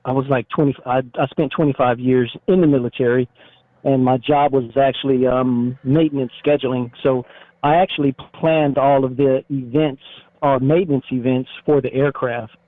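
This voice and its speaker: A man's voice: medium pitch, fairly even intonation, moderate pace, dry recording. Middle-aged American man on a narrowband phone line. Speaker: interviewed motorcycle YouTuber, retired military. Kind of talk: casual phone interview. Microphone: phone call.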